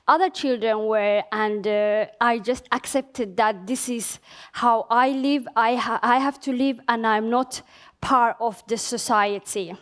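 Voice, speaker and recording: A woman with a fairly high voice speaks at a conference. She was born in Somalia and grew up in Finland. She talks hesitantly, pausing on filler sounds and restarting sentences. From born in Somalia, raised in Finland. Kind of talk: conference presentation. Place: conference venue.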